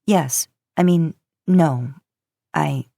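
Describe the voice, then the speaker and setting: A woman voicing an awkward, flustered young male college student in a story, with halting delivery. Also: low-pitched, creaky, mellow, no room sound, studio quality, full character voice.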